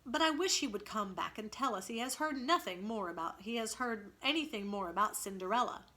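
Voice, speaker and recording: A woman's voice: fairly high pitch, animated, a little fast, pushed and bright. A woman voicing a naive, boastful and wistful young boy in a storybook reading. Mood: boastful, anxious.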